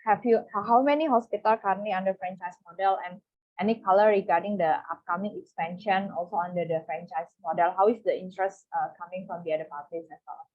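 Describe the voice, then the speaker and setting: A woman's voice: medium pitch, quick pace. A woman asking polite, tentative, wordy questions as an analyst on an online conference call. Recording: dull lo-fi call audio.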